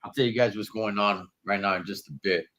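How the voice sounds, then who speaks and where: A man's voice: lowish voice, steady tone, fairly quick, fairly bright tone. A man with a stuffy nose from allergies, hosting a casual wrestling livestream from a hotel room in laid-back slangy talk. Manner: laid-back slangy talk, calls viewers dog and Holmes.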